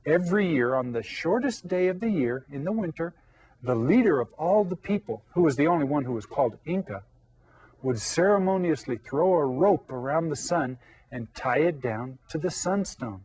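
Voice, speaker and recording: A man's voice: fairly high pitch, animated, darkish tone, husky, rough recording. A man teaching a Spanish lesson to young children, explaining patiently and repeating key words. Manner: patient, explains slowly with repetition.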